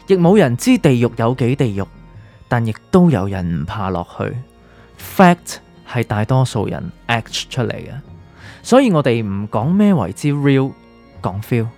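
A man's voice: mid-range voice, very animated, unhurried, mellow. An actor with a Hong Kong Cantonese accent playing an enigmatic, secretive male doctor in a radio drama, speaking in a cryptic, philosophical way. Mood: cryptic, solemn. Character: enigmatic male doctor, secretive guide offering fateful choices. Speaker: supporting character in a radio drama. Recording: music under the voice.

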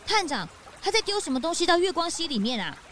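A woman voicing an eager, excitable young sidekick in a children's audio drama. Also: very high-pitched, quick pace, slight background noise, heavily acted. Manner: eager, indignant. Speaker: detective's assistant.

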